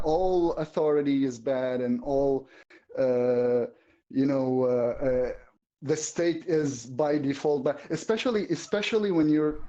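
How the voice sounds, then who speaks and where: Halting man, mellow tone. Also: medium pitch, muffled recording. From Syria. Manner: halting, searching for words. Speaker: guest viewer. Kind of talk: casual political discussion.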